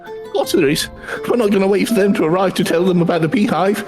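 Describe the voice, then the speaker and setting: A man's voice: high-pitched, fast talker, a little mellow, faint music behind, lightly acted. A man playing an eager, cheeky young male hired helper in a video game, chatty and colloquial, with a British accent. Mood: excited, scheming.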